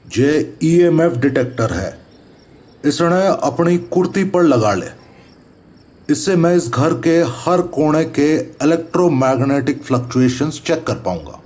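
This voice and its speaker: A man playing a serious, methodical paranormal investigator in an audio horror drama, speaking deliberate rustic Haryanvi-Rajasthani Hindi. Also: mid-range voice, darkish tone. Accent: Haryanvi-Rajasthani rural Hindi. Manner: deliberate, instructive. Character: methodical, serious male paranormal investigator, neighbourhood elder brother.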